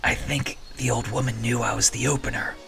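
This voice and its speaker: Man acting a rattled, gravelly fantasy rogue. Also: mid-range voice, little pitch movement, a little fast, fairly bright tone, faint music behind. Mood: weary, rueful. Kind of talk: fantasy audio drama acting.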